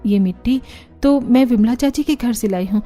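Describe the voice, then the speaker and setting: High earnest young daughter-in-law, voiced by a woman. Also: moderate pace, dark tone, faint music behind, lightly acted. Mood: encouraging. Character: young resourceful daughter-in-law, eager and encouraging. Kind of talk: Hindi cartoon story dialogue.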